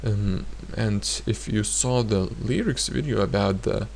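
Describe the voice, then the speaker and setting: Slow, gravelly-voiced man teaching grammar. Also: on the low side, a little mellow, slight background noise. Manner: hesitant, many filler pauses, repeats example sentences. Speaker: language teacher. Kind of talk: explanatory grammar lesson.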